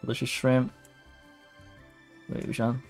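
A man's voice: mid-range voice, monotone, extremely slow, creaky, faint music behind. A man casually commentating his gameplay as a streamer, muttering to himself in a self-deprecating way. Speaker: game streamer.

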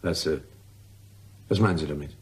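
A man playing a pompous, irritable, sardonic author in a German crime radio play, with a husky voice and a curt manner. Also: rumbling low, moderate pace, exaggerated voice. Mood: indignant, sarcastic. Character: pompous male writer of saints' lives, irritable, sardonic.

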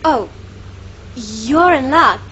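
A woman's voice: high-pitched, expressive, very slow, fairly bright tone, a little hiss or hum, exaggerated voice. A woman playing an upbeat store worker in an amateur radio ad. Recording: dull lo-fi, music behind.

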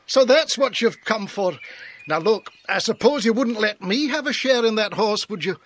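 A man's voice: sits high, animated, normal speed, a little hiss or hum. A British man playing a jolly, generous country vet in a children's audio drama, hearty and exclamatory. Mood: pleasantly surprised.

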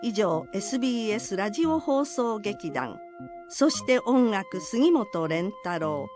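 A woman's voice: mid-range voice, lively intonation, slow pace, darkish tone, soft background music. A woman narrating a folk tale for a radio drama in scripted storytelling style.

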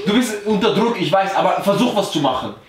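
A young man in excited, casual chat while playing a game, recorded on a camera in a small bedroom. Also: high voice, fast talker. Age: young adult. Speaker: vlog host playing charades. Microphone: camera a short distance away. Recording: echoey room, faint noise.

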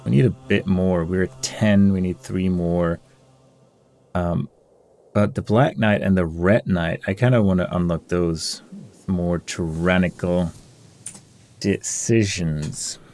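A man's voice: sits low, fairly even intonation, slow, a little mellow. A man giving casual gameplay commentary, thinking aloud and muttering through options as he reads game text. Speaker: gamer hosting a playthrough. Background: game music.

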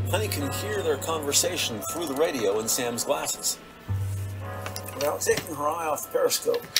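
A man narrates a scripted audio drama in a fairly high voice. His delivery is measured and descriptive, carrying the story along step by step. Music plays clearly behind him. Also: low-quality recording.

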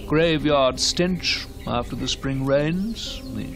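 A man's voice: fairly high pitch, takes it slowly. An actor in a mid-century radio drama playing a genteel man who recounts grisly local legends in ornate, theatrical phrases. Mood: ominous. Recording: old radio drama recording with music behind.

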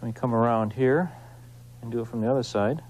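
Guy hosting a woodcarving how-to, medium pitch voice, easygoing folksy explaining while he works. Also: a little fast, a little mellow, a little hiss or hum, poor audio. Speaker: woodcarving instructor, show host. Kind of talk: hands-on demonstration, informal teaching.

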